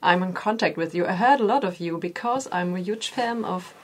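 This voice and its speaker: A woman's voice: on the low side, brisk. Hesitant woman hosting a studio interview. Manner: hesitant, apologetic, careful English. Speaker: radio show host. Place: radio studio.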